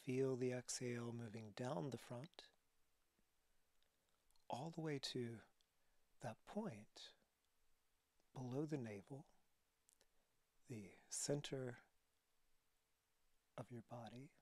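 A man leading a guided relaxation as a yoga teacher, speaking calmly and softly with breathy phrases and long pauses. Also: medium pitch, dry recording. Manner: calm, soothing instruction.

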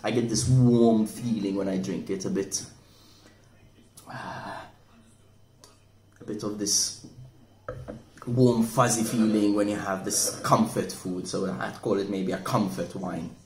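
A man's voice: on the low side, slow pace, long pauses. Guy in his 40s chatting through a wine tasting, keeps repeating words, roomy echo, bit of background hiss. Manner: relaxed, thoughtful, with many repeated words and restarts. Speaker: wine shop manager reviewing wine. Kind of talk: casual wine tasting review. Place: indoor room at a table. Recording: echoey room with faint noise.

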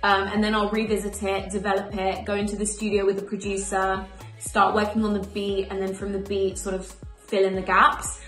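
A woman's voice: mid-range voice, completely flat delivery, a bit bright, faint music behind. Upbeat chatty young woman, roomy sound. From Spain. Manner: upbeat, chatty, lists things quickly. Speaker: emerging singer interviewed. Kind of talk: casual to-camera answers. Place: small bright room indoors.

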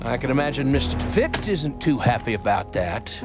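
A man's voice: mid-range voice, very animated, normal speed, loud music behind the voice, lightly acted. A man acting in a video game as a smug, scheming groom, hesitant and bullying, cruel and domineering. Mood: uneasy, needling.

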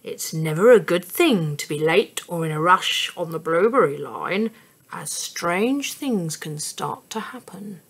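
A woman voicing a proud, mysterious railway ticket man who speaks precisely and drops to a hush, a little away from the mic. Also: lowish voice, expressive, measured pace, full character voice. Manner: precise, then hushed and whispering.

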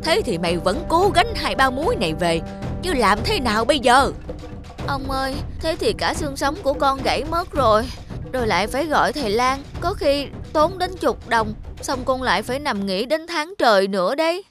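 A woman's voice: high voice, sing-song, normal speed. A woman voicing a timid, crafty young male servant in a cartoon, deferential and pleading. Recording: studio voice with loud music.

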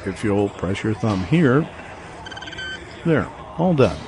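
An actor playing a polite, composed college professor who speaks formally. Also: male voice, fairly low pitch, big pitch swings, takes it slowly, noisy background, heavily acted. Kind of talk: radio drama acting. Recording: dialogue over music.

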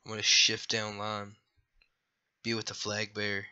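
A man's voice: fairly low pitch, completely flat delivery, normal speed, sharp tone, smooth, highs cut off. A young man with a scratchy voice after being sick, rambling and apologizing through casual gameplay commentary. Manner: rambling, apologetic, lots of fillers. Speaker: gaming youtuber.

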